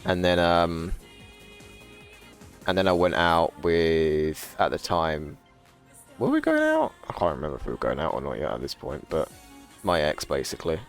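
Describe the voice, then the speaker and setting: A man's voice: wildly swooping pitch, normal speed, vocal fry, long pauses, soft background music. A man in his twenties, chatting casually and profanely to a live stream audience, rambling and laughing often. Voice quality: low voice. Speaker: livestreamer.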